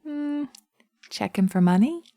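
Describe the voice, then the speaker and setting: A woman voicing a sweet, dreamy romantic witch in a roleplay audio drama, cooing gently and dotingly. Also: sits high, expressive, measured pace, dry recording, studio quality. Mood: playfully ominous.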